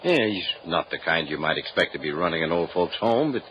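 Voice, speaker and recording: A man's voice: deep voice, very animated, a little fast, slight background noise. An actor playing a folksy, easygoing small-town male police lieutenant in an old radio drama, on a dull lo-fi broadcast. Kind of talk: 1950s radio drama acting.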